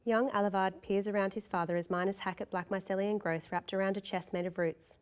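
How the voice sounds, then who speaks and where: A woman's voice: medium pitch, fairly even intonation, fairly quick, smooth. A woman reading scripted stage directions as the narrator of a table read.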